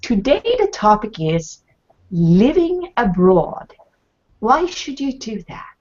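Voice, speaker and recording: A woman from Sweden opening a show, speaking in a measured, deliberate way over a video call. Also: on the high side, very animated, a little mellow, muffled recording. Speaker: life and business mentor, host. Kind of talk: scripted show intro. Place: home in Canada.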